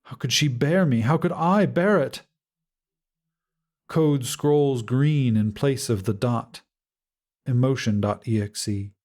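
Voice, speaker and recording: Slow, mellow male audiobook narrator. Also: medium pitch. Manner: measured, dramatic, solemn delivery. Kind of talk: scripted first-person fiction narration.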